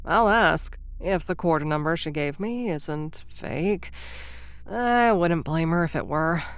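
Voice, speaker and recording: A woman's voice: deep voice, lively intonation, takes it slowly, clear, resonant tone, dry recording, full character voice. A woman playing a scatterbrained young would-be influencer and time traveler, narrating to the listener in a bubbly, impulsive, rambling way. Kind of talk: audio drama narration to the listener.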